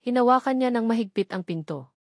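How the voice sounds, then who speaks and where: A woman's voice: fairly high pitch, animated, brisk, a little mellow, dry recording, studio quality. A woman with a Filipino accent reads a dramatic romance story as scripted narration.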